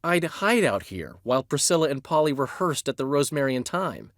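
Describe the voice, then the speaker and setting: Male actor as a vain, theatrical middle-aged bard for a game: lively, lilting, dry studio sound. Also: mid-range voice, fairly quick, no room sound, natural voice. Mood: wry. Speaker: game character voice. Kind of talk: game voice lines.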